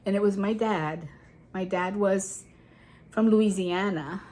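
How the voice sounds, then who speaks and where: A woman's voice: mid-range voice, takes it slowly, mellow. A woman in her seventies, originally from Guatemala, chatting casually to her channel viewers as she tells her life story with digressive asides. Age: 70s. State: sweaty after a walk. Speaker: YouTube channel host. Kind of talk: casual personal storytelling to camera.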